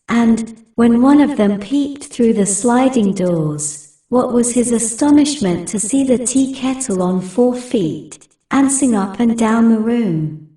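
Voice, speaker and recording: Female storybook narrator, slightly high and unhurried, a little distant from the mic. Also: warm tone. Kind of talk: scripted fairy tale narration. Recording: roomy, distant-sounding recording.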